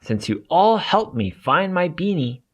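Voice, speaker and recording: An innocent, grateful little teddy bear from a picture book, read by a man, talks earnestly and politely. The delivery is animated but unhurried. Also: fairly high pitch, voice pushed up. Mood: grateful, gleeful. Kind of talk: children's storybook read-aloud.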